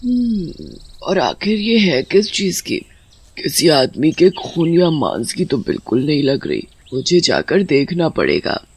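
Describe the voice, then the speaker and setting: A man voicing a tea-loving witch who is greedy but helpful, savouring her words in a Hindi animated story. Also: a little high, unhurried, slightly rough, exaggerated voice. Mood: curious. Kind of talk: Hindi animated horror-comedy story dialogue.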